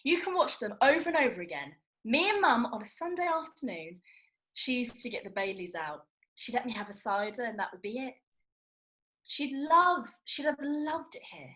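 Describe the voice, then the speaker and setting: A woman's voice: fairly high pitch, sing-song, normal speed, bright, forceful tone. An actress playing a blunt, indignant young British woman with colloquial, sweary speech in a comedy script read. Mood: wistful, fond. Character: blunt, indignant young woman, film-loving Austen festival-goer.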